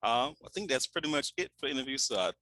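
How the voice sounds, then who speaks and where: A man hosting a show, chatting loosely with many pauses and fillers. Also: mid-range voice, expressive, bright, forceful tone. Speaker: show host. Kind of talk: casual interview and show links. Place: in Louisiana.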